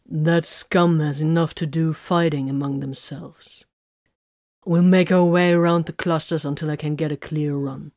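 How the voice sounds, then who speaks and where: A woman voicing a stern, battered male soldier sergeant in a measured, commanding way. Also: low-pitched, fairly even intonation, a little mellow, dry recording, clean studio sound.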